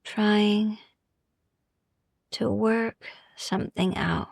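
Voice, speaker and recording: A woman guiding a sleep meditation in a soft, gentle, soothing ASMR style, hushed and often slipping into whispers, with long pauses. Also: mid-range voice, flat delivery, creaky, no echo, clean studio sound. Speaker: sleep meditation podcast host.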